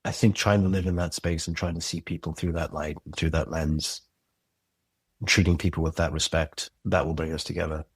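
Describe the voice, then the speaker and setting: A man's voice: very deep voice, little pitch movement, normal speed, breathy edge, dry recording. A man who creates and directs audio drama, speaking as a guest in a casual interview, earnest, reflective and passionate.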